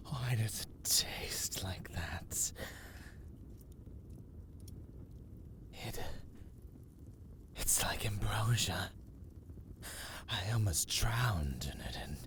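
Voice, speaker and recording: A man playing a brooding, self-loathing vampire in a dramatic ASMR roleplay, speaking in a formal, archaic, hushed and breathy manner. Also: fairly low pitch, background noise. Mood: awed, intrigued. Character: brooding, self-loathing male vampire, menacing yet conflicted.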